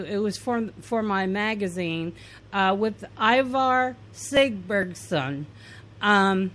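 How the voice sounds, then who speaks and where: A woman's voice: mid-range voice, fairly bright tone. A woman podcast host from Indiana, reading aloud both sides of an interview in a plain, earnest way with some fillers and restarts.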